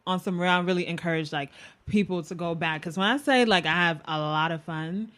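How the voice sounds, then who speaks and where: A woman's voice: on the low side, fairly quick, fairly bright tone. A woman from Ghana co-hosting a podcast, chatting casually, assertive and teasing, laughing often. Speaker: podcast co-host. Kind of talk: casual chat.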